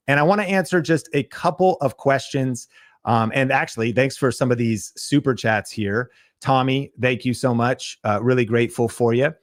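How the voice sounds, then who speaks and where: A podcast host giving an energetic sales pitch on a livestream, talking straight to his viewers. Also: no echo, studio quality.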